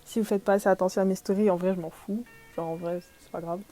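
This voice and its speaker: A woman's voice: mid-range voice, fairly even intonation, quick pace, warm tone, no echo, soft background music. A young woman from France chatting casually in a podcast-style vlog, self-deprecating, with many fillers and small laughs. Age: young adult. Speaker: vlogger.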